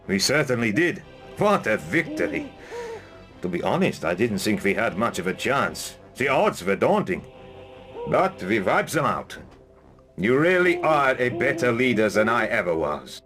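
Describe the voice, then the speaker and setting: Loyal old-hand soldier for a game, hearty, up and down delivery, music behind him. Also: male voice, mid-range voice, big pitch swings, a little slow. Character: hearty male veteran soldier, loyal lieutenant and former mentor. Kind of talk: dramatic game cutscene acting.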